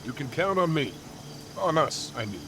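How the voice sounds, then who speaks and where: A man's voice: fairly high pitch, sing-song, normal speed, noisy background. An actor playing a brash, loyal young male warrior in a fantasy game, with a cocky, informal, bantering manner. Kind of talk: scripted fantasy game acting.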